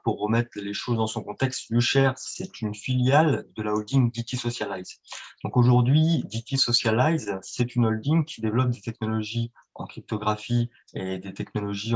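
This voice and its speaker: A man explaining things at length as an interview guest, in a didactic, promotional way with rhetorical questions. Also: lowish voice. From France or Italy, Franco-Italian. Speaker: guest, marketing agency representative.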